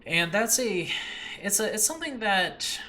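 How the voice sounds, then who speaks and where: Male, casual solo podcaster. Bright, punchy tone, earnest and self-deprecating, loose unscripted delivery, slightly noisy home recording. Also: high voice, very animated, a little slow. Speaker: host and audio drama creator. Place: makeshift room in a new home.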